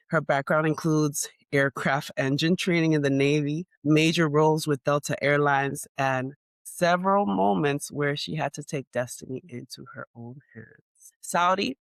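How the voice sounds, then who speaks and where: A woman's voice: low voice, no room sound. A woman hosting a podcast, reading a polished scripted introduction and asking interview questions. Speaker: podcast host.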